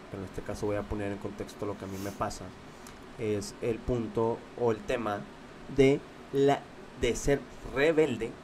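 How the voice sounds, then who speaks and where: A young man with a Mexican accent and a stuffy, congested nose, co-hosting a casual podcast in a laid-back, slangy way. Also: mid-range voice. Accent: Mexican Spanish. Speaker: podcast co-host. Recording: noticeable background noise.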